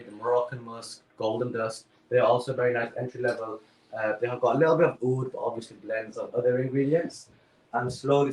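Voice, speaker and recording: A man who runs a perfume business, answering questions hesitantly with many fillers, heard over a remote call. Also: medium pitch. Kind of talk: guest answering interview questions. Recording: echoey and distant.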